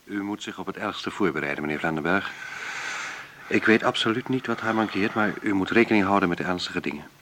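An actor playing a grave, kindly family doctor in a radio drama, speaking in a measured way, blunt yet gentle. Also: male voice, low voice, a little hiss or hum. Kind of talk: naturalistic radio drama acting.

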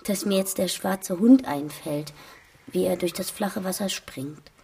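An actress playing a pensive, dreamy daughter in a radio drama interior monologue, hushed, hesitant and reflective. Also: female voice, on the low side, unhurried, creaky, breathy edge, full character voice. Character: pensive, dreamy daughter puzzling over an image.

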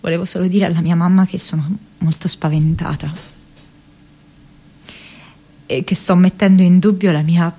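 An actress playing an earnest, distressed young woman activist in a radio monologue, impassioned and halting, repeating words as she goes. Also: lowish voice, warm tone, slightly rough. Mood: frightened, weary. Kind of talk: dramatic radio monologue from diaries and letters.

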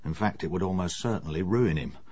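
An actor playing a bluff, chummy middle-aged English police inspector in a radio drama, matter-of-fact and worldly. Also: male voice, low voice, brisk, darkish tone, slightly rough, no room sound. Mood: bluff, assured.

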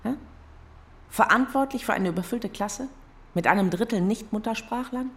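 A woman's voice: medium pitch, moderate pace, fairly bright tone, slightly rough. An actress playing an exasperated, sarcastic girlfriend who teaches school, in naturalistic, colloquial radio play dialogue. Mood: defiant.

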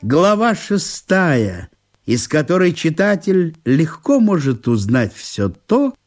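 Slow, animated male storyteller. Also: a little high. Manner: expressive storytelling. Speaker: narrator, actor. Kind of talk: theatrical literary narration for radio.

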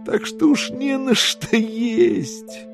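An actor playing a self-pitying, theatrical schemer who laments in rhetorical flourishes in an audiobook drama. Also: male voice, extremely high pitch, fairly even intonation, very slow, heavily acted. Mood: aggrieved, explaining. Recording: studio drama with clear music behind.

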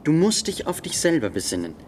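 Reserved student, widely swinging intonation. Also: male voice, fairly high pitch, wildly swooping pitch, a little fast, darkish tone, a little hiss or hum. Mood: earnest advice. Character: reserved, thoughtful male student, coolly skeptical. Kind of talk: German literary radio drama.

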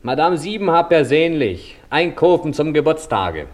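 Lively, coaxing young coachman. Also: on the high side, moderate pace. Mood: familiar. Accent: Silesian. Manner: familiar, coaxing. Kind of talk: naturalistic German radio drama acting.

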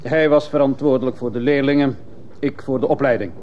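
A man's voice: mid-range voice, fairly even intonation, brisk, lightly acted. A man playing a dutiful, disapproving sergeant giving stiff, deferential testimony in a radio drama. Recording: muffled, hissy old radio play recording.

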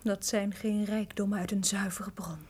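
A woman's voice: medium pitch, level tone, moderate pace, a little mellow, husky, close to an everyday voice. An actress playing a captive noblewoman in a radio drama, distressed yet defiant, speaking formally with impassioned pleading. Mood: dismayed. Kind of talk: theatrical radio drama acting.

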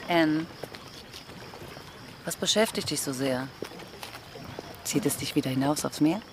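An actress playing a tender, devoted female pirate weary of fighting, speaking gently and earnestly in a German radio drama. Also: low-pitched, a little slow, background noise. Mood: concerned. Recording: studio radio play with prominent music.

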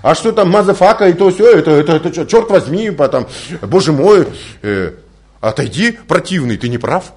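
A man, not a native speaker, delivers a spiritual lecture with a lot of expression. His voice sits a little high and swings widely in pitch as he fires off rhetorical questions and tells anecdotes. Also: moderate pace. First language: not Russian. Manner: animated storytelling with rhetorical questions. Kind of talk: recorded religious lecture.

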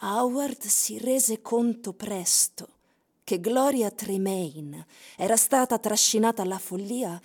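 A woman narrates literary fiction for radio drama. Her voice is medium in pitch with a husky grain. The phrasing is deliberate and theatrical. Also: darkish tone.

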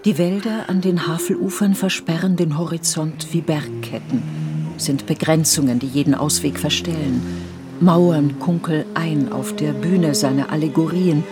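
Low-pitched woman narrating over violin music. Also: fairly even intonation, measured pace. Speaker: narrator of a radio play. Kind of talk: literary scripted narration.

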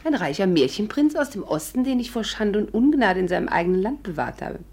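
Self-assured, sharp-witted lady adventurer. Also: medium pitch, animated, fairly quick, husky. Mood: playful. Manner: confident, poised, playful. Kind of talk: 1950s German radio play acting.

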